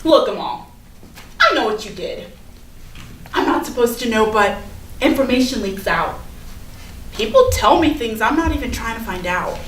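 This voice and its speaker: Popular high school girl, played by a woman, breezy and self-excusing, high swooping voice, stage reading in an echoey room. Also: lively intonation, moderate pace, long pauses, fairly bright tone. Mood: confiding, self-excusing. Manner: chatty, hedging, casual. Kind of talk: staged reading of a play, naturalistic dialogue. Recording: echoey room from far off, noisy.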